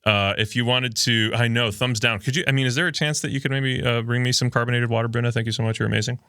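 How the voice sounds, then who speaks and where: Bright-voiced joking male podcast host. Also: medium pitch, fairly even intonation, fast, smooth, no room sound, studio quality. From Miami, Florida. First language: Spanish. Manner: chatty, joking, reads viewer comments aloud. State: short on sleep. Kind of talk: casual livestream chat. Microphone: studio microphone.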